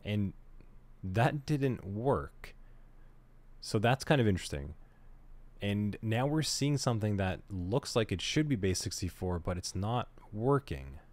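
A man with a somewhat low voice leads a screen-recorded cybersecurity tutorial. He talks at an easy, conversational pace and thinks aloud as he works through each step. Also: unhurried. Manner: relaxed, conversational, thinks aloud while working. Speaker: cybersecurity instructor.

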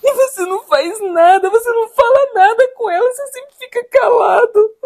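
Casting: actress as crying Brazilian wife, extremely high voice, plaintive, reproachful, domestic drama. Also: female voice, normal speed. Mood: tearful, reproachful. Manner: plaintive, reproachful, repeating herself. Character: tearful, long-suffering wife pleading with her passive husband.

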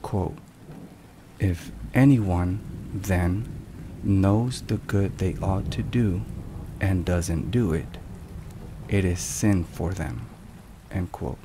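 Deep, slow male ASMR narrator over rain. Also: warm tone. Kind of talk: ASMR scripted devotional narration. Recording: rain and thunder noise. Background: thunderstorm and rain sounds.

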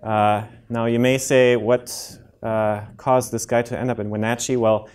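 A German-accented man in his thirties gives a friendly talk on heart rhythm to a community audience. He explains things plainly, often pausing with ums and restarting sentences. He speaks into a microphone in a lecture room. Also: medium pitch, little pitch movement, darkish tone, clear, resonant tone. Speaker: cardiologist giving a public health talk. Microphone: handheld and podium microphone.

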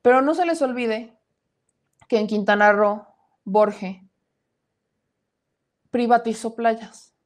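A woman's voice: fairly high pitch, slow pace, long pauses. A woman in her thirties hosting a live-streamed news show from a small home studio, reading viewer comments aloud and adding emphatic opinions.

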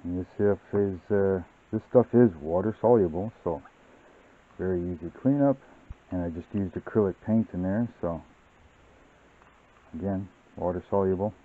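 A very deep-voiced man talks through a hobby build in an easy, meandering tutorial manner, often pausing on fillers. His voice comes through thin and tinny, like a phone line. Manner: relaxed, rambling, many fillers. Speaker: model-building channel host. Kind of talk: casual hobby tutorial narration.

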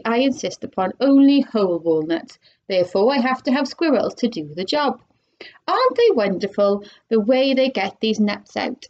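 An eccentric male candy-factory owner with a showy, insistent manner, as a woman reading aloud puts him on. His lines rise and fall in lively swings, leaning hard on each warning. The recording sounds slightly dull and lo-fi. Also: medium pitch.

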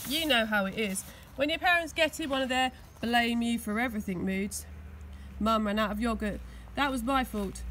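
An English woman reading a children's storybook aloud with expressive narration, recorded outdoors. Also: a little high, moderate pace, a little hiss or hum. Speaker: school teaching assistant reading aloud. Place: outdoors on grass.

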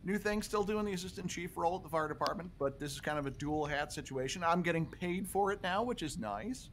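A man's voice: sits high, brisk, fairly bright tone, slight background noise. A man chatting casually over voice chat, self-deprecating and talkative. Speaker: emergency services officer.

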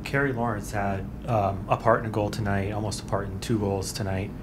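Flat-voiced male reporter asking a question. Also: on the low side, brisk, background noise. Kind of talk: asking a press-conference question.

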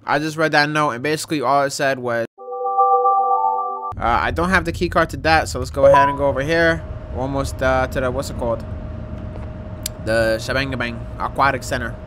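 A man in his twenties giving casual, slangy, jokey gaming commentary with some swearing and excitable reactions. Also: medium pitch, fairly quick. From Dominican background. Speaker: gaming video host. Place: indoor room, gaming setup. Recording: noticeable background game noise.

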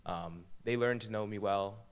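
A young man in his late teens answers interview questions in a small podcast studio. His voice is on the low side, and he speaks tentatively, often restarting his sentences. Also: moderate pace, clear, resonant tone. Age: young adult. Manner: hesitant, many fillers and restarts. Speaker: guest, high school senior. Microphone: boom-arm studio mic.

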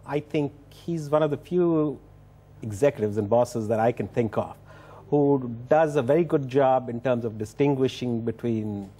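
The husky man in the studio guest chair of an evening business programme, picking through his answers with measured, halting care. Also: mid-range voice, dark tone. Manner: halting, measured, with repeated starts. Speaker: business executive being interviewed. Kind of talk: television interview answers. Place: television studio. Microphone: lapel mic.

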